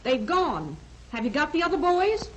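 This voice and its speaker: An actress playing a harried rural housewife in an old western drama, with a drawn-out delivery. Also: female voice, high voice, very animated. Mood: harried, concerned. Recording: noisy, echoey old soundtrack.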